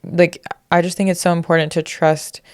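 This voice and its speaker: A woman's voice: on the low side, little pitch movement, moderate pace, no room sound, clean studio sound. A young woman co-hosting a podcast, hesitant and searching for words, with many fillers. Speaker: podcast co-host. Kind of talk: casual chat.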